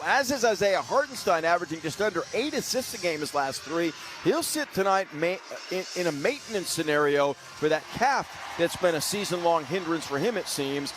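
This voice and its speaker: Higher-voiced man, polished sports radio interviewer. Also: noisy background. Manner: fluent, formal, wordy announcer phrasing. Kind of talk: polished sports broadcast commentary and questions.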